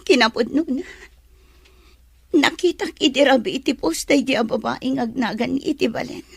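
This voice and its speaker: A woman's voice: high-pitched, moderate pace, vocal fry, husky, dry recording, exaggerated voice. A woman playing a hurt, betrayed young mother in an audio drama, plaintive and reproachful. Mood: tearful, hurt. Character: hurt, betrayed young mother who just gave birth, Megan. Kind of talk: Ilocano audio drama acting.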